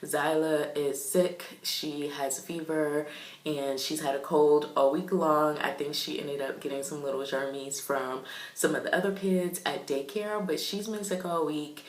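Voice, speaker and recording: A young woman vlogger who is congested with a cold, sounding raspy and nasal as she chats through a makeup tutorial. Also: low-pitched, steady tone, unhurried. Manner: chatty and upbeat, frequent "um" and "super". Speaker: beauty vlogger. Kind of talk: casual makeup tutorial chat. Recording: echoey room.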